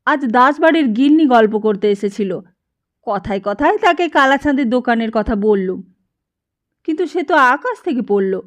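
A woman's voice: fairly high pitch, expressive, normal speed, lightly acted. A woman voicing a chatty village wife in an audiobook story, full of questions. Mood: curious, chatty. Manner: chatty, inquisitive. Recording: dull lo-fi narration recording.

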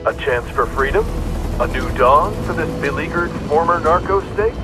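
Raised-pitch actor as a solemn newscaster. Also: male voice, animated, moderate pace, music in the background, close to an everyday voice. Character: male newscaster, measured and dramatic. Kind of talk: scripted news report in a game.

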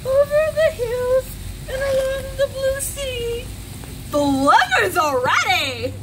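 Very high-pitched woman reading a storybook dramatically. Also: animated, takes it slowly, background noise. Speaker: reader. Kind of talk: dramatic picture-book read-aloud. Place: outdoors on a garden bench.

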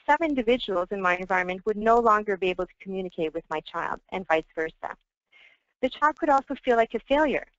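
A woman speech language pathologist presents a webinar, explaining points in a clear, measured manner. Her voice sits at an ordinary pitch. The audio is dry and slightly muffled. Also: no room sound. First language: French.

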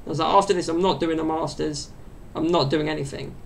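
Young man, chatty rambling podcast host, gravelly fry, faint room rumble. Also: steady tone, fast talker. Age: 20s. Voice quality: high-pitched, gravelly. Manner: chatty and meandering, full of fillers. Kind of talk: rambling solo podcast chat. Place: small bedroom at home. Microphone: desk mic set off to the side.

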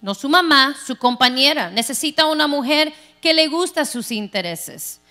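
A middle-aged woman pastor from Texas preaching a sermon on a large church stage into a handheld mic, animated and playful, acting out quoted voices. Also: high voice, normal speed. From Texas, United States. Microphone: handheld microphone.

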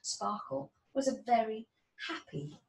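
A woman in her thirties reads a storybook to children with a lively, expressive delivery. She is in a roomy indoor space, a little way from the mic. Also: a little high, unhurried, vocal fry. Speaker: storybook reader. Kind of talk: children's read-aloud. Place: small indoor children's playroom. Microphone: camera mic about a metre away. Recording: roomy, distant mic, faint background noise.

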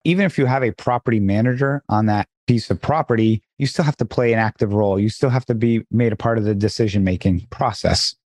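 A man co-hosting a podcast, explaining things in a measured way with a slightly froggy voice. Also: mid-range voice, darkish tone, dry recording, studio quality. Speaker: podcast co-host. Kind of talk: casual podcast chat.

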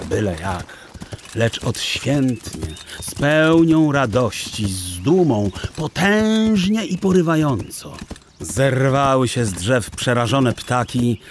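A man narrating a children's story in a theatrical, deliberate, expressive literary style. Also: medium pitch, slight background noise. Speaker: audiobook narrator.

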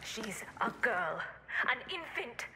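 A distressed young mother in a video game drama. She pleads in alarm, high and lively, under clear background noise. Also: takes it slowly, full character voice. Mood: alarmed, pleading. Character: distressed young mother defending her baby. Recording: distant, noisy game audio.

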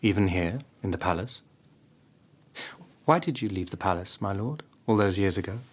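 An actor in a radio drama playing a young, romantic, carefree courtier poet, with a husky voice. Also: male voice, deep voice, lively intonation, moderate pace. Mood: bold, teasing. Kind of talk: BBC radio drama acting.

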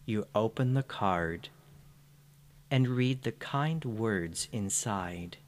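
A man narrating a scripted guided meditation in a soothing, gentle manner. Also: fairly low pitch, lively intonation. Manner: soothing, unhurried, gentle phrasing.

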